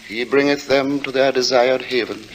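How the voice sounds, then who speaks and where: An actor in 1940s radio style playing a weary, pious, eccentric gentleman lodger, formal and hesitant, with a sinister edge. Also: mid-range voice, moderate pace, lightly acted. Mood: pious, measured. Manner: formal, hesitant, fussily precise, abruptly sharp. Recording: noisy old radio recording, steady hiss.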